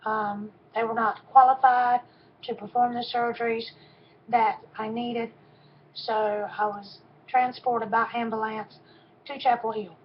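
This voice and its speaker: A woman in her fifties with a soft voice, giving a personal testimony in a halting way with frequent pauses and ums. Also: fairly high pitch, level tone, a little hiss or hum. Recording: dull, lo-fi recording.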